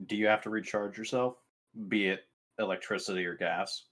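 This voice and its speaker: Male tabletop player, low voice, casual but methodical, hesitating between questions. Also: steady tone, normal speed, fairly bright tone.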